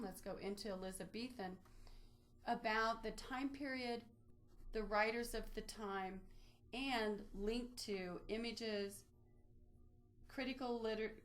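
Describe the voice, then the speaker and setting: Woman guiding a webinar step by step. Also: on the high side. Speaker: webinar presenter from a library. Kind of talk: instructional screen-share walkthrough.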